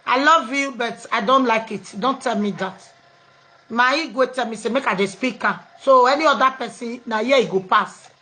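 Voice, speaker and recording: A woman's voice: on the high side, normal speed, bright, forceful tone. A Nigerian woman hosting a live online program, chatting casually with callers and switching freely between pidgin, English and local languages.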